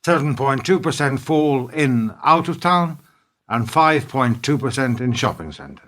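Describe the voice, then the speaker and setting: A man's voice: mid-range voice, lively intonation, unhurried, fairly bright tone. A man playing a principled, disillusioned older business partner in a radio play, earnest and accusing. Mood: grim. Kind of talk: radio play acting, dramatic dialogue.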